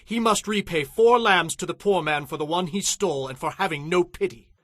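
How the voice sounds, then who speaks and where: A man's voice: high-pitched, fairly quick, a bit bright, no echo, clean studio sound. An actor playing a commanding, decisive king in a dramatized audio Bible, with an imperious, emphatic delivery. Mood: outraged. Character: commanding, decisive adult male biblical king.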